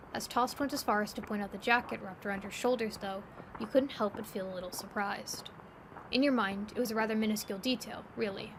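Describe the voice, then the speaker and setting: A woman narrating a scripted story in the second person as the narrator of an audio drama. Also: medium pitch. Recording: loud steady background ambience noise. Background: ambient noise.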